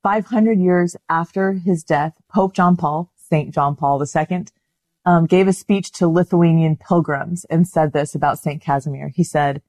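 A woman's voice: sits low, unhurried, darkish tone, no echo. A woman presenting an online video about a saint in a chatty, enthusiastic way. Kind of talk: casual talk about a saint.

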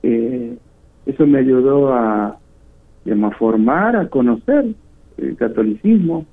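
A middle-aged man with a northern Argentine accent, giving halting testimony as a guest caller over the phone. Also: mid-range voice, animated. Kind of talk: personal testimony in an interview. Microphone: phone call. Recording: tinny phone line, muffled and rough.

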